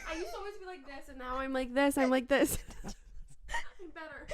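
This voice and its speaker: A young woman talks in a casual podcast debate. Her voice is high and soft-spoken, and she breaks into laughter and animated bursts. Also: moderate pace. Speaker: podcast co-host.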